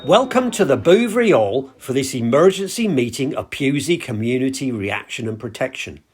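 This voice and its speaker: A man playing a fussy, flustered village councillor in an amateur audio play, husky and officious. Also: mid-range voice, lively intonation, normal speed. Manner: officious, exasperated, declamatory.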